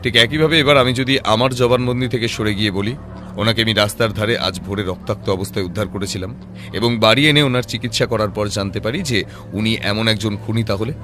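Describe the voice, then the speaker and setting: A man playing a composed, sharp-witted private detective in an audio drama, reasoning in a measured voice with rhetorical questions. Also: lowish voice. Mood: firm, challenging. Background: background music.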